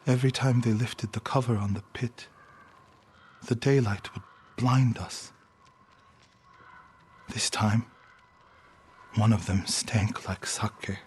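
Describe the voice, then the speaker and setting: An actor playing a solemn, earnest warrior monk of feudal Japan, grieving, in a dramatic video game cutscene. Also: male voice, lowish voice, steady tone, slow, long pauses, darkish tone, a little hiss or hum. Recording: background music under the dialogue.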